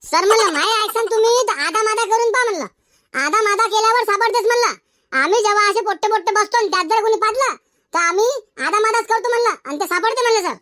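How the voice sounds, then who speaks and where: Squeaky, cheeky Varhadi schoolboy. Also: male voice, fast, pushed and bright. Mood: cheeky, eager. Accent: Varhadi Marathi. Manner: argumentative, indignant, backtalking. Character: cheeky, boastful village schoolboy protesting his innocence. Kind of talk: cartoon comedy dialogue.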